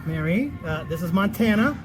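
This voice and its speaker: An actor playing an anxious, sheepish middle-aged husband with dry weariness in a comic stage play. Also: male voice, high voice, lively intonation, normal speed, a crowd in the background, background noise. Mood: sheepish, weary. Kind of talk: stage comedy acting.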